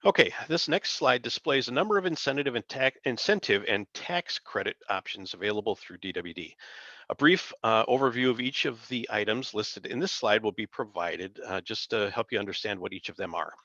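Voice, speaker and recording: Gravelly, low-voiced man presenting slides. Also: animated, sharp tone, dry recording. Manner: formal, reading from slides with halting self-corrections. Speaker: workforce agency presenter. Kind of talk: slide presentation on a conference call. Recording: dull, lo-fi conference call audio.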